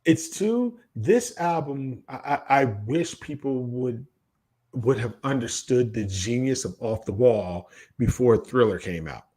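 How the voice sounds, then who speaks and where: A man in his late forties with a medium-pitched voice co-hosts a casual podcast. He argues his opinions emphatically and often circles back to repeat a point for weight. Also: animated, a little slow, dark tone. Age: late 40s. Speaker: podcast co-host. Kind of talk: casual music debate.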